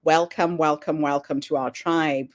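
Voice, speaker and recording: A woman's voice: sits low, steady tone, unhurried. A woman hosting a podcast, reading a welcoming intro and greeting her guest. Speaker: podcast host.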